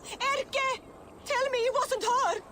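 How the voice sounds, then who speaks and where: A woman's voice: very high-pitched, fairly even intonation, slow pace, noisy background. A woman playing an anxious, earthy Viking-age mother in a video game cutscene, fretful and full of feeling. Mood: distraught. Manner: fretful, emotive.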